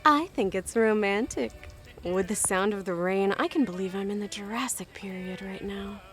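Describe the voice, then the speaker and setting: A woman's voice: mid-range voice, moderate pace, faint music behind, lightly acted. An actress playing a playful, easygoing female technician in an audio drama, with a casual, teasing way of talking. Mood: dreamy, relaxed. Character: playful, easygoing female control-room technician relaxing at a bar.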